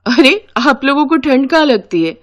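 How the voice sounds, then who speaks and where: A woman's voice: sits high, lively intonation, normal speed, voice pushed up. A woman voicing a fed-up young daughter-in-law with a taunting, sarcastic manner in a moral-story cartoon. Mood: mocking.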